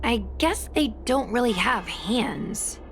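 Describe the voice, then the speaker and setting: A woman's voice: a little high, expressive, takes it slowly, gravelly, faint music behind, heavily acted. A woman playing a sensible, earnest young investigator in a video game. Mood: excited. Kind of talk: video game dialogue acting.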